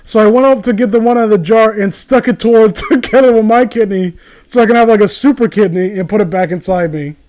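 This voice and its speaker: A man's voice: high-pitched, quick pace, darkish tone, low-quality recording. A man co-hosting a casual comedic read-aloud, sarcastic and freely swearing as he riffs on the story. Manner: sarcastic, profane. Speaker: co-host reading and mocking fan fiction.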